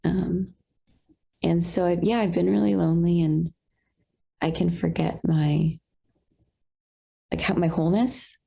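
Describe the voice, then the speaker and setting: A young woman on a video call, sharing reflectively with halting pauses and fillers. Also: lowish voice, fairly even intonation, creaky, warm tone, breathy edge. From Colombian roots. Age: young adult. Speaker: satsang participant.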